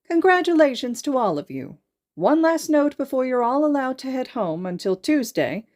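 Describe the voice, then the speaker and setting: A woman's voice: sits high, very animated, moderate pace, darkish tone, voice pushed up. A woman voicing a courteous school principal in a story, making a formal, projected announcement to students. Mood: formal, upbeat. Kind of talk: audiobook character dialogue, announcement.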